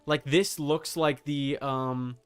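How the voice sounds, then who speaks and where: A man's voice: on the high side, fairly even intonation, slow, clear, resonant tone, soft background music. A man giving casual livestream commentary on a game, thinking aloud in slangy language with some swearing. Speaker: livestream host.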